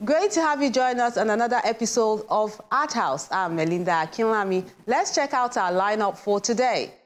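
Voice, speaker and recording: A woman's voice: mid-range voice, lively intonation, moderate pace, soft background music. A woman in her thirties with a Nigerian accent presenting a scripted television arts show as its host.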